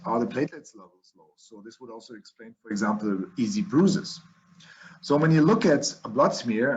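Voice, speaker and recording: A man with a German accent explains medical diagnosis over slides in an unhurried, teacherly way, often restarting his sentences and filling pauses. His voice sits at an ordinary middle pitch. The recording sounds slightly dull and lo-fi. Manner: explanatory, frequent restarts and fillers. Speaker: physician lecturer.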